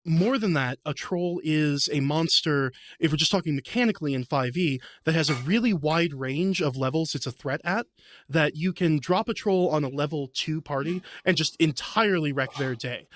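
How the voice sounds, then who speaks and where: Higher-pitched man chatting, dry close sound. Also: no echo. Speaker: podcast guest, veteran game master. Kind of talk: casual chat, explaining.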